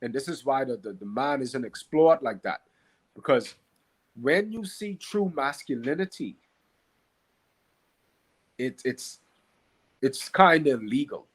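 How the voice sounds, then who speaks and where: Husky Bahamian man, emphatic talker. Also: mid-range voice, expressive, a little slow, long pauses, poor audio. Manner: emphatic, repeats key phrases, checks in with rhetorical questions. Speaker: podcast guest, Qigong practitioner and teacher. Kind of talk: conversational spiritual teaching.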